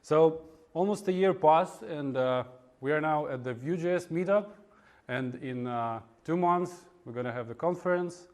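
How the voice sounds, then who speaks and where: A man from Russia with a Russian accent giving an informal technical talk at a meetup, in a halting, hesitant way with frequent pauses. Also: on the high side, darkish tone. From Russia (Moscow). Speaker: conference speaker, web developer. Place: indoor meetup room in front of an audience.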